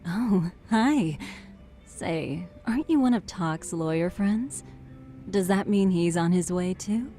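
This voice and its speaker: A woman voicing a playful, flattering, worldly barroom confidante in a video game English dub, coquettish and teasing. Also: lowish voice, slow pace, soft background music.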